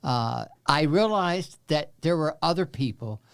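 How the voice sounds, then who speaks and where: A man in his seventies from Central Texas, a guest telling his life story in an interview, halting with many fillers, with a wry humor. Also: a little high, lively intonation, gravelly, fairly bright tone, clean tone.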